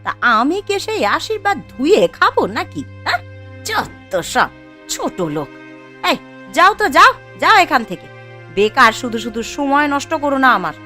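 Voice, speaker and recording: A snobbish, mean rich wife, played by a woman in a cartoon morality tale. Her high voice swoops about as she scolds, sharp and dismissive, with faint music behind. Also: sing-song, moderate pace, heavily acted. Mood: angry, dismissive. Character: haughty, mean rich married woman, scornful of beggars. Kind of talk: Bengali moral-story cartoon acting.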